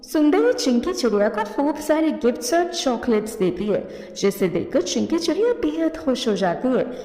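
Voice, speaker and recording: A woman's voice: high voice, big pitch swings, normal speed, warm tone. A woman narrator giving a scripted storytelling narration for a cartoon. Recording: echoey reverberant voice-over.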